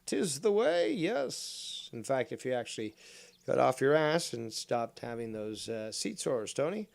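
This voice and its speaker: A man's voice: medium pitch, expressive, moderate pace, gravelly. A man livestreaming a game with rambling live commentary, theatrical and mock-villainous, crude and full of swearing. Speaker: livestreamer playing a fishing game.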